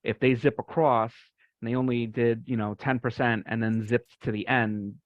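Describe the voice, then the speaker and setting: A man with a medium-pitched voice explains technical ideas casually and tentatively. The audio is dry and a little dull, like an online call. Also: steady tone, normal speed, no room sound. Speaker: participant in a software community call.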